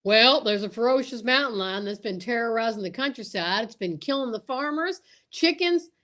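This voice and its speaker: A woman's voice: on the high side, lively intonation, normal speed, a bit bright, voice pushed down. A woman playing a folksy male game warden with a cowboy drawl in an old-time radio comedy. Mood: folksy, deadpan.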